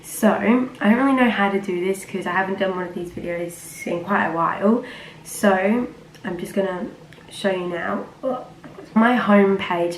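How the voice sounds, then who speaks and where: Teenage girl, croaky from a cold, rambling with fillers, echoey room. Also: lowish voice. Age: teens. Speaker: vlogger. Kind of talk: casual chat to camera. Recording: roomy with faint ambient noise.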